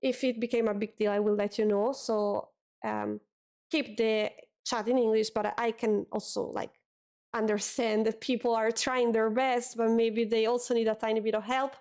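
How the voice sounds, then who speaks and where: A young Italian woman talks casually to her stream chat at a desk mic. Her voice sits a little high, and she repeats words as she goes. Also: flat delivery, moderate pace, a little mellow. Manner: chatty, repeats words, reads chat aloud.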